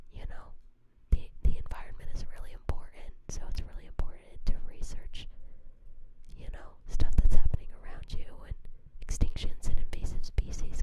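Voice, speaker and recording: A young woman streamer chatting in a steady whisper, rambling and swearing freely as she mocks herself. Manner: whispering throughout. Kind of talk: whispered casual livestream chat.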